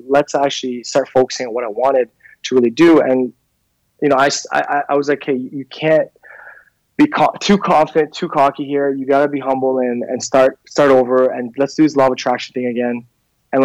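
A man's voice: mid-range voice, darkish tone, no room sound, rough recording. A man in his thirties from Canada, a clothing brand founder, telling his story in a casual interview with many fillers and restarts. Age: 30s.

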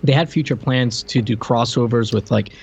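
A man's voice: mid-range voice, normal speed, creaky, breathy edge. A man co-hosting a podcast, discussing films casually in loose, slangy, conversational phrasing. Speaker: podcast co-host.

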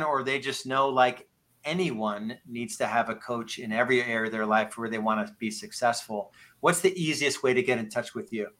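Male podcast host, 50. Bright, forceful tone, medium pitch, earnest halting delivery, conversational interview style.

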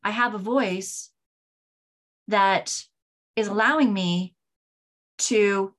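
A woman in her forties hosting a podcast, talking candidly in a rambling way, with many restarts and a pace that swings between slow and hurried. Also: mid-range voice, fairly bright tone. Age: 40s. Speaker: podcast host. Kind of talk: casual solo podcast talk.

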